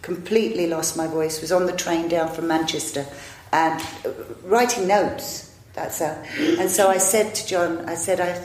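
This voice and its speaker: A woman's voice: lowish voice, steady tone, moderate pace, slight background noise. A woman in her fifties, an actress, telling long rambling anecdotes in a chatty, self-deprecating way. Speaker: actress and improviser, interview guest. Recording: echoey room.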